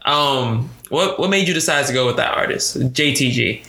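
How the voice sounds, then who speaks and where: A man hosting a podcast, asking laid-back, halting questions. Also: medium pitch, lively intonation, bright, forceful tone. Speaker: podcast host. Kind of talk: casual interview chat. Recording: roomy echo.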